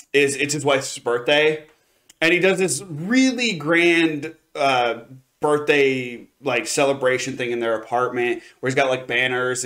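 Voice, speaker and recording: A man's voice: mid-range voice, a bit bright. A man co-hosting a review show, chatting casually and enthusiastically in a hedging, conversational way. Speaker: podcast co-host reviewing anime.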